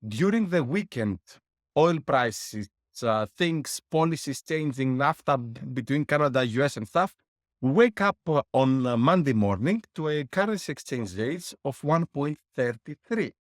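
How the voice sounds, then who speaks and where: A man's voice: medium pitch, lively intonation, a little slow, no echo, clean studio sound. A middle-aged man from Greece, a guest explaining business lessons with worked numbers and a wry laugh. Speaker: guest, board game publisher. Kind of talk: interview answers.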